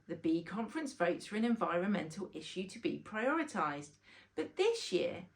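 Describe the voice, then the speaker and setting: Woman voicing a lively, kindly old grandad bee. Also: medium pitch. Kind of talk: children's storybook character voice.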